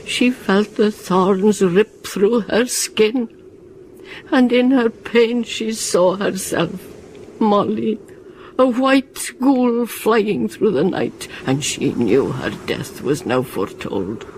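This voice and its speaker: A woman's voice: medium pitch, lively intonation, slow pace, darkish tone, slight background noise, rough recording. An older woman playing a brooding village witch who narrates a grim horror tale in an ominous, incantatory way, in a radio drama. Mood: anguished, foreboding.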